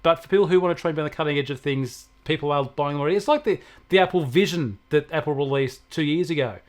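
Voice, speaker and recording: Man, Australian accent, slightly high voice, conversational tech explainer, practical everyday examples. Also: quick pace. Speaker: technology expert guest answering questions.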